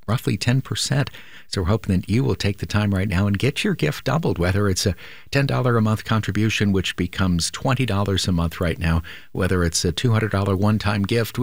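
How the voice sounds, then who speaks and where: A man hosting a classical music radio station, making a calm, measured pledge drive appeal from a studio microphone. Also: on the low side, dry recording. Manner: calm, measured, sometimes drops to a near whisper.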